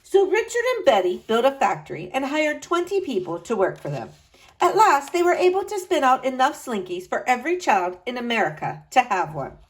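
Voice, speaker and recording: Woman, storybook read-aloud for kids, higher voice, big lively pitch swings. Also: rough recording. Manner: expressive, slow dramatic emphasis with wide pitch swings. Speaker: storyteller reading to children. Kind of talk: picture book read-aloud.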